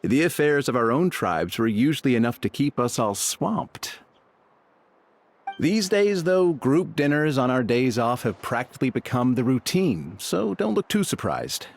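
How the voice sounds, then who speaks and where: Affable, expressive game chieftain. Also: male voice, medium pitch, unhurried, vocal fry, slight background noise. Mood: amused, relaxed. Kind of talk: video game character acting.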